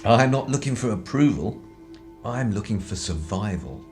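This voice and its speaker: A man's voice: fairly low pitch, lively intonation, moderate pace, a little mellow, soft background music, natural voice. A man playing a cocky, scheming spy in a radio drama, with arch, wordy, old-fashioned banter. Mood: sly scheming. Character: cocky, scheming young-at-heart spy, calculating and theatrical.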